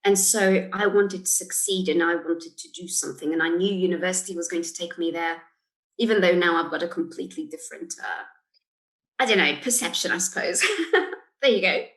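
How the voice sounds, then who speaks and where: A woman's voice: fairly low pitch, brisk, a bit bright, rough recording. A woman in her forties from Portugal, a guest on an interview podcast, thinking aloud and laughing often. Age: 40s. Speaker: guest, solicitor. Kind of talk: casual interview chat.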